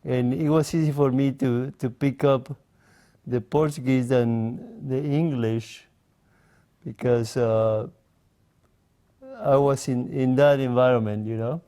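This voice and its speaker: A man in his forties from Mexico, speaking English as an interview guest, in a slow, halting way with many pauses and fillers. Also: mid-range voice, steady tone, dark tone. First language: Spanish. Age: 40s. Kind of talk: casual interview conversation.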